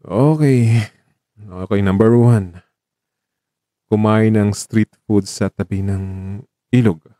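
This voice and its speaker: A man with a Filipino accent acting a gentle, dying young man in a radio drama, soft and wistful as he talks tenderly to his lover. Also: lowish voice, steady tone, slow pace, long pauses, no room sound, clean studio sound. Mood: wistful, playful.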